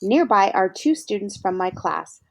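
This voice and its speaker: A woman reading a children's novel aloud in a gentle storytelling manner, pausing to ask her listeners questions. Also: medium pitch, sing-song, vocal fry, no room sound. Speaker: read-aloud narrator.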